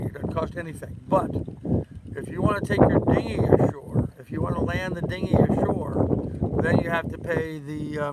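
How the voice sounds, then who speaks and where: An older man with a hoarse voice, hosting a rambling, casual live stream in a halting, repetitive way. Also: fairly high pitch. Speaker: live-stream host of a sailing channel. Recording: loud wind and boat noise.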